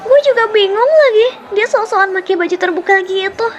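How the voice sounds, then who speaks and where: A woman's voice: very high voice, brisk, slight background noise. A woman playing a scornful, catty young clique member gossiping in slangy audio roleplay.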